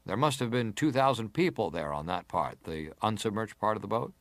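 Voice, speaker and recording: A male senator grilling a witness, formal and insistent, pitch swings a lot, dramatized inquiry. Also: animated, brisk, a bit bright, no room sound. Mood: pressing. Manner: formal, pressing, interrogating. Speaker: actor reading testimony. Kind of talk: dramatized reading of hearing testimony.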